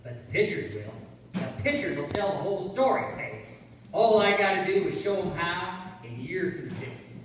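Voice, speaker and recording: A man's voice: high-pitched, very animated, moderate pace. A man playing an accusing, stubborn, slangy circus informant in an old-time radio crime drama. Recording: echoey hall, far from mic, faint noise.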